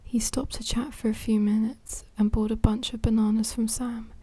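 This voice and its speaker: A woman reading a children's storybook aloud in a soft, breathy whisper, with hushed asides to the listener. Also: on the high side, level tone, normal speed, no echo. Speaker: storybook reader.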